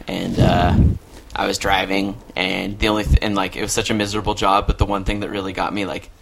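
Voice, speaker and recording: Male co-host, low and gravelly, flat delivery, hissy home podcast recording. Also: brisk. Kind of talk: casual podcast chat.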